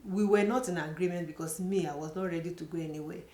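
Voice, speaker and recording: A woman's voice: lowish voice, steady tone, fast, dark tone. A middle-aged woman from Nigeria with a Nigerian accent, telling her own story to her channel in an earnest, chatty way, often saying "you know". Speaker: vlogger. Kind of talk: personal storytelling to camera. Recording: echoey room.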